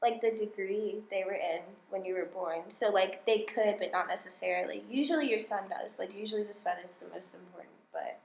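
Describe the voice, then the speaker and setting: A young woman, a guest on a podcast, explaining in casual chat, animated and full of fillers. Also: mid-range voice, a little fast, few pauses, a little hiss or hum. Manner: animated, explains with many likes. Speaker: astrology guest. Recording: echoey room.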